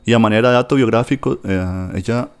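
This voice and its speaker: A man's voice: fairly low pitch, fairly quick, clean tone. A man, chatting casually about books on a podcast, full of fillers and tag questions. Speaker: podcast host discussing books. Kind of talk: casual literary chat.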